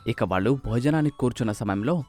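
A man reads a scripted story as narrator. His voice is mid-pitched with an animated rise and fall. Music plays quietly behind him. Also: fast.

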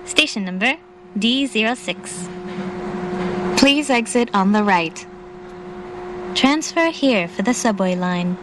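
A woman giving a formal, measured, carefully enunciated recorded station announcement as a train announcer. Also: medium pitch, expressive. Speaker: English-language train announcer. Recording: faint train noise. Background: train car ambience.

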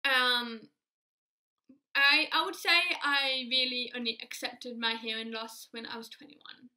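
A woman, giving an earnest, measured personal speech to a close camera in a small room. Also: on the high side. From Australia. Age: 20s. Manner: earnest, measured, steady delivery. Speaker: alumni speaker. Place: small indoor room against a plain wall.

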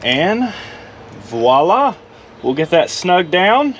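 Relaxed man talking through his car build. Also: sits high, slow pace, muffled recording, a little hiss or hum. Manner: relaxed, self-deprecating, explains while working. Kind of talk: casual how-to walkthrough. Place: garage and inside a truck.

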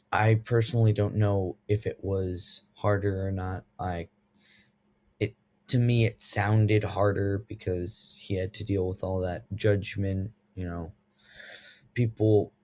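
A teenage boy sharing an emotional reflection on a video call, hesitant and halting. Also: low-pitched, darkish tone. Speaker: student guest. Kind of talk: personal reflection on a podcast. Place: bedroom at home.

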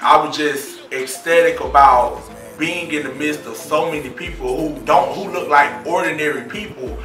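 A man's voice: medium pitch, far from the mic. A man talking in a reality show confessional, boastful and blunt, with casual profanity. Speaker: reality show cast member, rapper. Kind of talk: confessional interview. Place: studio seat before a screen backdrop. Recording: echoey room, mic set back, music under.

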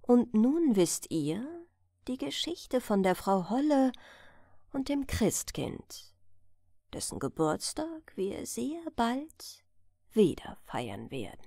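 Woman narrator, fairy-tale audiobook, expressive, slow, dry intimate sound. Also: a little high, vocal fry, no echo. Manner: expressive, careful storytelling.

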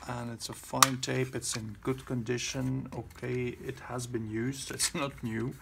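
Man, unhurried, halting, some vocal fry, casual hobby narration. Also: mid-range voice. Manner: hesitant, halting phrasing. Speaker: video host, collector. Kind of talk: casual show-and-tell narration. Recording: clear background noise, handling clicks.

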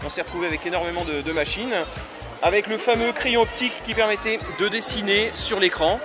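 Man, thirties, high-voiced, rambling explainer at a noisy expo stand with music playing behind. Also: brisk, crowd noise. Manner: explanatory, many fillers and restarts. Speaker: retro computer exhibitor. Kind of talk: casual explanation at a convention stand. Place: busy convention hall stand, indoors. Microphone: handheld recorder held by the interviewer.

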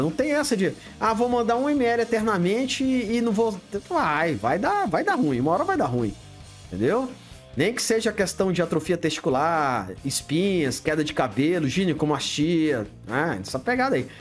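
A Brazilian man in his fifties talks straight into a desk webcam in a home studio room, blunt and plain-spoken. His pitch swings a lot as he greets chat viewers. Faint music plays in the background. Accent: Brazilian Portuguese. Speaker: livestream host answering viewer questions. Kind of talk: casual live chat. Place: home studio room lit with colored LEDs.

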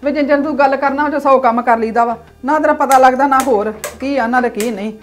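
A woman's voice: sits high, fast, soft background music, close to an everyday voice. A woman playing a village mother who scolds and nags her sons. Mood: scolding. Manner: scolding, nagging. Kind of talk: village comedy sketch acting.

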